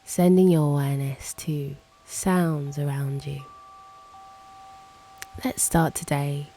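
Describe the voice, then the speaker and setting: A woman with a low, mellow, creaky voice guides a meditation. She speaks in a soft hush, leaving long pauses and letting the ends of her phrases turn breathy. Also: fairly even intonation, faint music behind. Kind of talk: guided meditation, slow and hushed.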